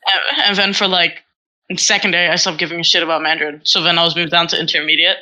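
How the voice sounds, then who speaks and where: Teen girl from Singapore, podcast co-host; very bright, rambling and self-mocking. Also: fairly low pitch, flat delivery, fast talker, low-quality recording. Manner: rambling, self-mocking storytelling with many fillers. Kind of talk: casual chat.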